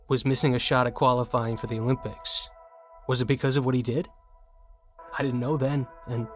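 A man acts an earnest young American college athlete in an audio drama. He sounds inwardly torn and speaks in a natural, middle-pitched voice, with music underneath. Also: close to an everyday voice. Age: young adult. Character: earnest, conflicted young male college rower. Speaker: lead character and narrator. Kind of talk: audio drama acting with first-person narration.

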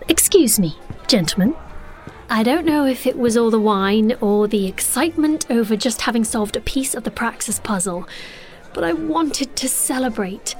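An actress playing an earnest, romantic young sorceress princess, narrating a fantasy audio drama with expressive, breathy delivery. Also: female voice, a little high, normal speed, faint music behind, lightly acted. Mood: wistful, elated.

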